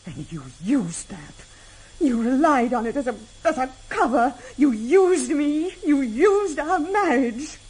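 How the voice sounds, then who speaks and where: An actress in a vintage radio drama playing a loving, betrayed wife, speaking haltingly with pleading emotion. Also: female voice, high-pitched, animated, a little mellow. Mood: hurt, pleading.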